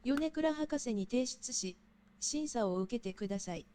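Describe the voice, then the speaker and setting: An impersonal female announcer, voiced by an actress in an audio drama. Her high, soft-toned voice delivers formal notices at a quick, procedural clip. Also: expressive, lightly acted. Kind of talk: scripted announcement.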